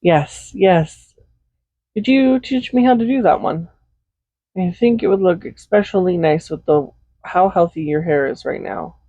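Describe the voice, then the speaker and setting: A woman playing a doting, possessive villain in an intimate roleplay audio, speaking softly and without hurry in a gently teasing manner. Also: lowish voice, no echo. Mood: curious, fond. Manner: soft-spoken, unhurried, gently teasing. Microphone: Blue Yeti USB microphone, close. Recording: slightly dull home microphone recording.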